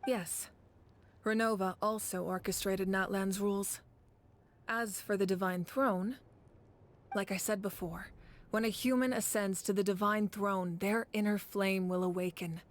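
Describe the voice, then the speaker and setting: An actress playing a calm, dignified warrior leader in a video game, speaking in a measured, firm, formal way. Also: female voice, medium pitch, close to an everyday voice. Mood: composed, firm. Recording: background music under the voice.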